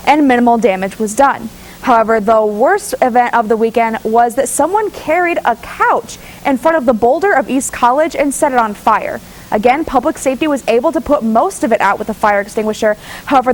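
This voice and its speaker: A woman giving a measured, formal news report as a student reporter in a studio. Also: on the high side, rough recording.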